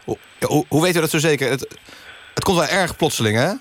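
A man playing an earnest radio news interviewer, deadpan and somewhat halting, in a satirical magazine show. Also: on the high side, slight background noise. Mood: earnest, incredulous. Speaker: radio host.

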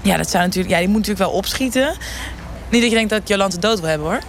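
A woman's voice: medium pitch, racing, lightly acted. A woman playing a self-absorbed, shallow trendwatcher who chatters in trendy slang and trails off, in a satirical radio street interview. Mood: gushing, defensive. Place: outdoors on a shopping street. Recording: outdoor street noise.

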